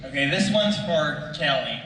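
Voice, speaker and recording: Male fan questioner, fairly high and very forceful tone, distant in a reverberant room. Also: animated, measured pace. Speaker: audience questioner. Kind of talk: asking a panel question. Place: large convention panel room. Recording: very echoey hall, distant, faint noise.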